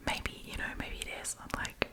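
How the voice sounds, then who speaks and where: A woman's voice: normal speed, pushed and bright. A woman doing an ASMR storytime, chatting casually and informally with rambling asides.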